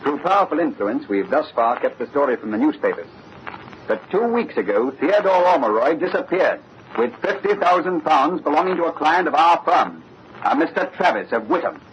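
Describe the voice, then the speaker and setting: An actor playing a solemn, formal junior business partner in an old-time radio drama. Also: male voice, on the high side, sing-song, moderate pace. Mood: formal, grave. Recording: muffled, faintly noisy old radio recording.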